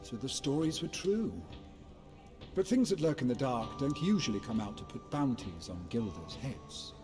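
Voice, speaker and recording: A sly male underworld contact in a game, played by an actor, with a swooping, theatrical delivery. He sounds knowing and measured, in long reverb with music behind. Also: mid-range voice, sing-song, natural voice. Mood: intrigued. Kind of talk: video game cutscene acting. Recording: long echoing hall reverb.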